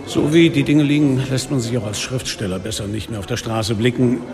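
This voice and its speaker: An actor as a gravelly, sardonic police inspector. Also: male voice, mid-range voice, fairly even intonation, fairly quick, crowd noise, lightly acted. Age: middle-aged. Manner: dry, caustic. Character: weary, sardonic police inspector narrating his case. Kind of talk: radio drama narration and dialogue. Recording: reverberant, loud music behind.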